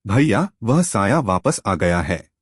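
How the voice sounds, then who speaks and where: A man's voice: on the low side, big pitch swings, slow pace, slightly rough, no room sound, studio quality, full character voice. A man voicing a curious, impulsive young man, a nervous younger brother in a storybook tale. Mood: frightened. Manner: hesitant, nervous. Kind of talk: dramatic storybook character voice.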